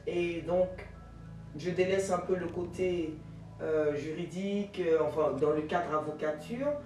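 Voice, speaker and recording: A woman in her forties from Ivory Coast, a guest telling her career story in an animated way, with many fillers and restarts. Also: on the low side, measured pace, reverberant, distant mic, soft background music, poor audio. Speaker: guest, jurist and teacher. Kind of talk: interview answers.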